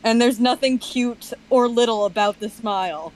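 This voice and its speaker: Fairly high-voiced woman, casual commentary. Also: moderate pace, a crowd in the background, poor audio.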